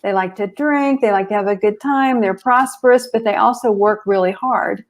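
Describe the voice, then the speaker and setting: A woman with a mid-range voice, chatting as a podcast guest. She talks in a playful, joking way and riffs on a running gag. Her delivery is relaxed and conversational. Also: mid-range voice, normal speed. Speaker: guest, psychic medium. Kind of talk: casual chat.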